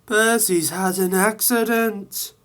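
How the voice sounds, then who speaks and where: A man's voice: high-pitched, steady tone, slow pace, a bit bright, pitched above the performer's own voice. A man doing a stammering, timid little engine. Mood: frightened. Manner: stammering, shaky. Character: nervous timid little male tank engine.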